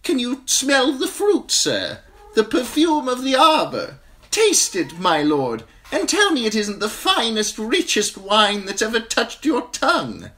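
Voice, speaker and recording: A man's voice: very high-pitched, huge pitch swings, sharp tone, pitched above the performer's own voice, full character voice. A man reading an audiobook, voicing a fawning, nervous male wine seller with an unctuous, coaxing manner. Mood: wheedling. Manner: unctuous, coaxing, drawn-out pleading.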